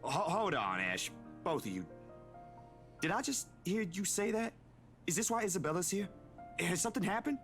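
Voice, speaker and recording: A man's voice: high voice, very animated, moderate pace, pauses often, a bit bright, faint music behind, heavily acted. A man playing a casual, skeptical young man in a visual novel, colloquial and easily exasperated. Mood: exasperated, questioning.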